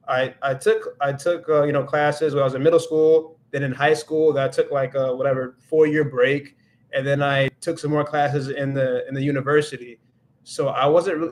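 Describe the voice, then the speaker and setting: Young man, livestream host, casual rambling chat, self-correcting, mid-pitched voice. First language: English. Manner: rambling, self-correcting, many restarts and fillers. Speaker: livestream host answering viewer questions.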